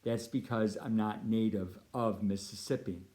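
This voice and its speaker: A middle-aged man with a Californian American accent, a priest giving a prepared appeal talk in measured, deliberate phrases. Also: on the low side, fairly even intonation, warm tone. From United States (Chicago and Southern California).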